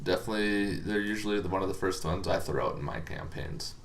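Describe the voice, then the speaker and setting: A man's voice: low voice, fast talker, slight background noise. A man hosting a casual, informal explainer on tabletop game monsters, talking in a rambling, hesitant way as if thinking aloud.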